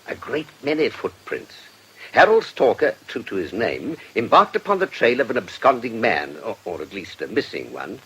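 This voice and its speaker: A man's voice: lowish voice, sing-song, moderate pace, fairly bright tone, breathy edge, exaggerated voice, low-quality recording. A man playing a genial, erudite medico-legal investigator with a British accent, precise and drily amused, in a radio drama. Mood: musing, absorbed. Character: urbane, genial male medico-legal investigator, self-satisfied.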